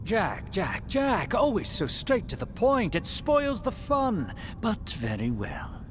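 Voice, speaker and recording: An actor playing a flamboyant, mocking trickster in a video game, theatrical and falsely courteous. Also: male voice, high voice, huge pitch swings, takes it slowly, background noise, heavily acted. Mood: mock praise, teasing. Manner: mock-courteous, theatrical, teasing. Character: mocking, flamboyant male trickster greeting warriors.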